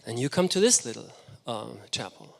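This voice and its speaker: A man's voice: medium pitch, sing-song, normal speed, gravelly. Enthusiastic German-native man lecturing. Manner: enthusiastic, reflective storytelling. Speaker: guest lecturer. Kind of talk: architecture lecture.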